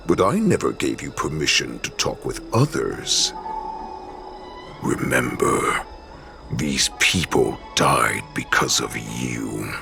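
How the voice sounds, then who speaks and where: An actor playing a menacing old wolf-beast warlord in a video game, with a growling, theatrical, threatening delivery. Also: male voice, very animated, takes it slowly, exaggerated voice. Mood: cold menace. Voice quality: deep gravelly growl. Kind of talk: video game villain acting. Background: music.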